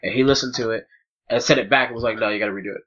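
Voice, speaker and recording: A man chats in easygoing slang on a casual podcast, his voice a little stuffy and sniffly from allergies. His pitch sits in the ordinary middle. The mic sounds dull and lo-fi. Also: very fast, a bit bright. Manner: laid-back slang, easygoing. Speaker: podcast co-host. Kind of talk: casual chat.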